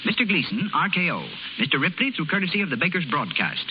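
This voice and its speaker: A man's voice: fairly high pitch, sing-song, fast, a little hiss or hum. A man reading scripted announcements in the style of 1930s radio. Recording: muffled 1930s radio recording.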